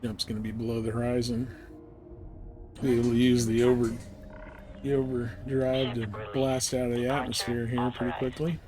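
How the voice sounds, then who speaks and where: A man's voice: mid-range voice, little pitch movement, moderate pace, warm tone. A man livestreaming a video game, thinking aloud in casual commentary and chatting with viewers. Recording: voice over clear background noise.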